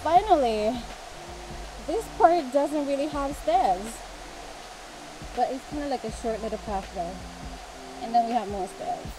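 A woman's voice: high voice, animated. A woman in her thirties vlogging to a handheld camera on a forest stairway, out of breath from the climb and chatting between sighs. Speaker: travel vlogger. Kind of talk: casual vlog talk to camera. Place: outdoor forest stairway near a waterfall. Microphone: handheld camera at arm's length. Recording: outdoor camera audio with waterfall noise. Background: rushing waterfall noise.

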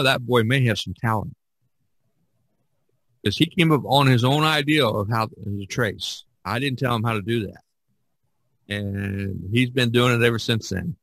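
A man's voice: mid-range voice, fairly bright tone, no room sound, rough recording. Older man chatting, close dry sound. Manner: rambling, anecdotal, repeats phrases. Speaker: podcast host. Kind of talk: casual podcast discussion.

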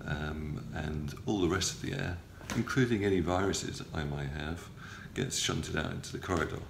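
A middle-aged man with a cold, recording a halting, matter-of-fact video diary from a hospital room on a front-facing camera, with many ums and uhs. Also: sits low, gravelly. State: has a cold, stuffy. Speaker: patient video blogger. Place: small hospital room, indoors. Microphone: phone or camera close up, front-facing. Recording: roomy with faint background hum.

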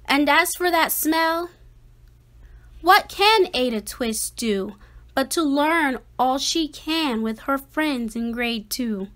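A woman reading a rhyming children's picture book aloud with expressive, dramatic and deliberate phrasing. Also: high voice. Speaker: storybook reader.

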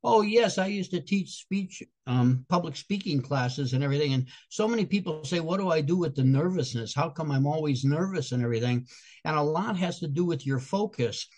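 A man's voice: fairly high pitch, expressive, a little fast, a little mellow. An older man from Chicago with a Chicago accent, answering interview questions in an earnest, explanatory way, sometimes restarting his phrases. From Chicago, USA. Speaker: guest speaker and comedian.

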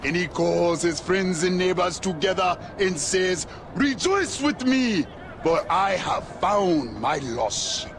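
Sin-haunted preacher, high-pitched, unhurried, grandiose, fervent, video game cutscene. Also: male voice, lively intonation, heavily acted. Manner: fervent, grandiose, quoting scripture like a sermon. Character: zealous preacher, former arms dealer haunted by sin. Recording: game audio with clear outdoor ambience noise.

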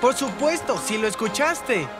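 A woman's voice: on the high side, expressive, measured pace. A woman voicing a confident, adventurous young male leopard cub in a children's cartoon, bold and a little impatient. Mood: encouraging. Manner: bold, encouraging, slightly impatient. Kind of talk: animated children's cartoon dialogue. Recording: loud music behind the voice.

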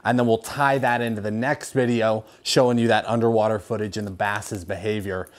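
A young man with a slightly raspy voice, hoarse from a cold, hosting a fishing lesson in a garage and explaining with lively hand gestures. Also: lowish voice, brisk. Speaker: fishing show host. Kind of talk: instructional talk to camera. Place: garage with fishing rods on the wall.

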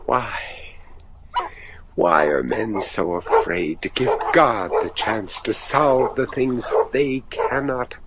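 A man's voice: fairly low pitch, slow. An actor playing a devout, anguished older father, a judge desperate to save his son, pleading earnestly and theatrically in a gothic horror radio drama. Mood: grave. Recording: noisy radio drama recording.

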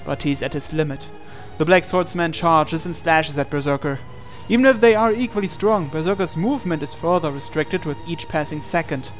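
A man reading a visual novel aloud as a dramatic narration, with deliberate pauses. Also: on the high side, expressive. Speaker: gaming channel host. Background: game music.

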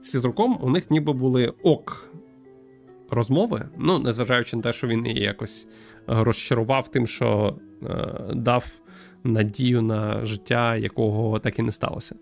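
A young man from Ukraine thinking aloud as he streams a game, with hesitant pauses and wry joking. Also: mid-range voice, gravelly, a little mellow. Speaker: streamer playing a visual novel. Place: small room at home with string lights. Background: game music.

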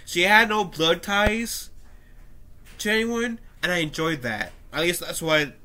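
A young man with a stuffed-up nose talks to his webcam from a small room at home. His voice is bright and pressed, sitting a little higher than most men's. He reads an article aloud in a casual, animated way, breaking off into his own opinions and coughing now and then. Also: a little slow. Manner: animated, gestures with his hands, frequent coughs and restarts. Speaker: YouTuber. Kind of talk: casual commentary reading an article.

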